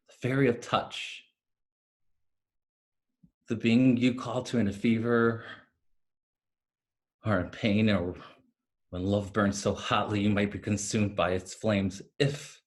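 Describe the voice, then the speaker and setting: An actor playing a tender, wistful fallen fairy of healing in a play reading, speaking lyrically over a video call. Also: male voice, fairly low pitch. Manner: slow, lyrical, deliberate delivery. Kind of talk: staged play reading, dramatic monologue. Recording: remote video-call recording.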